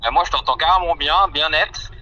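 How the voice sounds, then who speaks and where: A man's voice: fairly high pitch, a little fast, sharp tone. A man chatting casually over a helmet intercom call. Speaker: motorcycle rider on a test call. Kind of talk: casual chat. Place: on a moving motorbike outdoors. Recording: muffled, band-limited helmet intercom call.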